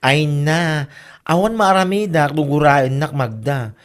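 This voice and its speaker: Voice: mid-range voice, a little slow, natural voice. A performer playing a teasing, gossipy, easily amused older sibling with an Ilocano Filipino accent in a comedy radio drama. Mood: placating, explaining.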